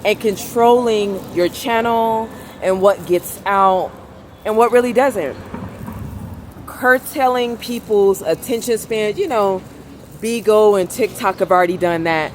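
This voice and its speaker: A woman vlogger with a velvety, raspy voice, speaking softly in a rambling monologue outdoors on a city street. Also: medium pitch, a little hiss or hum. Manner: soft-spoken, rambling, trying to talk louder. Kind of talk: casual monologue.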